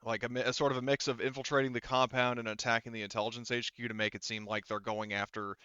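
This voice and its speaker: Male game master, forceful clear voice, halting self-correcting narration, dry slightly dull recording. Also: mid-range voice, level tone, dry recording. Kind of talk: improvised tabletop game narration.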